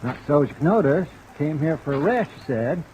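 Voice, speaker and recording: Radio-drama rustic innkeeper type acted by a man: highish voice, dry and opinionated, faint rain over an old recording. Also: lively intonation, fairly quick, darkish tone, muffled recording, slight background noise, lightly acted. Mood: dry, grumbling. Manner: dry, countrified, clipped and opinionated. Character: plain-spoken, folksy rural New England convalescent home keeper.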